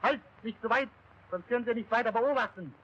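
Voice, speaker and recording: An actor playing a strict German military instructor, clipped, commanding and scolding, on an old 1940s training film soundtrack. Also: male voice, very high-pitched, fast talker, bright, forceful tone, husky, muffled recording, full character voice. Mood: stern, commanding.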